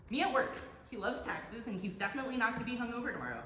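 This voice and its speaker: A woman's voice: a little high, animated, very fast, natural voice. A young woman playing a blunt, irreverent college student in a staged play reading, sarcastic in manner. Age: young adult. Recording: echoey room, background noise.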